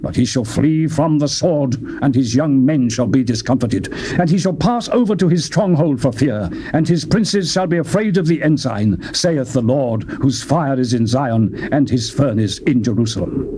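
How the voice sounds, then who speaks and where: A man reading scripture aloud in a solemn, declamatory manner, with wide swings in pitch. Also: animated, normal speed, hardly any pauses. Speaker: Bible reader. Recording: loud background noise.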